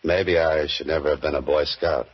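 A man's voice: a bass voice, fairly even intonation, normal speed. An actor playing a dry, wisecracking male mystery writer in a 1940s radio drama. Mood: dry, wary. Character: wry male mystery writer turned adventurer. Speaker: lead actor. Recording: dull old radio broadcast with music.

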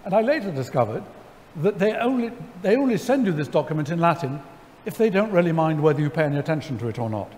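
A middle-aged man, seated on stage, telling a story to an audience in a wry, animated way, full of ums and restarts. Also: on the high side, fast, few pauses, slight background noise. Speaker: lecture guest. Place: large church sanctuary before an audience. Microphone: headset mic. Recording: hall reverb, faint ambience.

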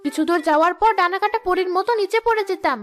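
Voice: very high voice, fast talker. A performer voicing a bossy female ghost teacher in a children's cartoon, scolding and sarcastic. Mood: irritated.

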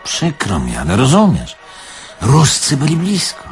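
Teatralny, nostalgiczny starszy Niemiec, bardzo zmienna intonacja. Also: male voice, medium pitch, wildly swooping pitch, faint music behind. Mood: rueful. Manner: theatrical, halting, deliberate. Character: nostalgic older German man, proud and condescending. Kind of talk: Polish audio drama acting.

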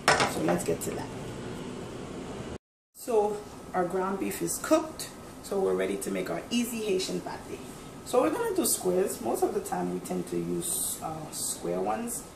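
A woman's voice: mid-range voice, vocal fry. A woman hosting a casual cooking demonstration in her home kitchen, chatting and explaining each step as she cooks, recorded on a camera mic from across the room. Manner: chatty, instructive, talks while cooking. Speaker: cooking channel host. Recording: echoey kitchen, distant mic, background noise.

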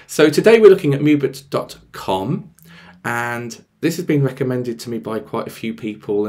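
A man in his thirties with a slightly croaky voice, presenting a casual on-screen tutorial and thinking aloud as he goes. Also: medium pitch, a little mellow. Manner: explanatory, thinking aloud as he clicks. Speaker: presenter of music tech tutorials. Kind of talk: casual screen-share walkthrough.

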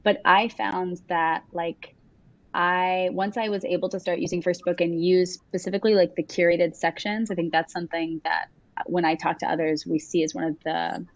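A woman's voice: lowish voice, little pitch movement, moderate pace, no echo. A young woman elementary teacher talking casually with lots of fillers on a video call from home. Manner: casual, frequent "like" fillers, gestures while talking. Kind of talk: casual panel answer. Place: home room with windows. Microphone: webcam on video call. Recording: dull dry webcam audio.

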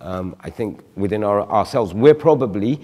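A man's voice: fairly low pitch, animated, normal speed, warm tone, long reverb tail. A man in his forties, a guest on a studio panel discussion, explaining his view reflectively with examples. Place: TV studio stage.

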